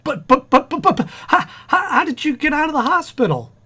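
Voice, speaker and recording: A man's voice: fairly high pitch, very animated, normal speed, a bit bright, slightly rough, exaggerated voice. A man playing a flustered, stammering, eager expectant father in an old-time radio comedy. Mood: startled, flustered. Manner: stammering, repeats words when flustered.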